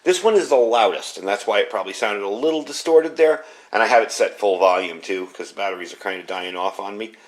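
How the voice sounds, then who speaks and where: A man's voice: lowish voice, quick pace, few pauses. A man giving a casual hands-on gear review indoors, explaining things in a rambling, informal way. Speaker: product reviewer. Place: indoors at home.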